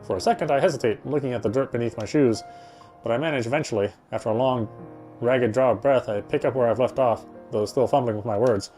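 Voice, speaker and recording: A man reading first-person visual novel narration aloud in a soft, reflective, dramatic storytelling way. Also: medium pitch, fast. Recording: music behind the voice. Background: game music.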